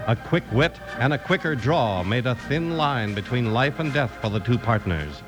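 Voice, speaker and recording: A man's voice: medium pitch, lively intonation, normal speed. An American man announcing and narrating a 1950s radio show, dramatic and emphatic, like a born salesman. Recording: old radio transcription with music.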